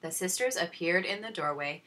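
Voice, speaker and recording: Woman, children's read-aloud, expressive narration, low pitch, bright tone. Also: normal speed, a little hiss or hum, poor audio. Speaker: story reader.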